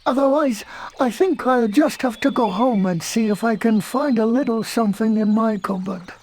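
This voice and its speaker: A man voicing a gentle, simple, ever-hungry teddy bear in a children's storybook, mild and musing. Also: extremely high pitch, normal speed, a little hiss or hum, pitched above the performer's own voice. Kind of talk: children's storybook read-aloud.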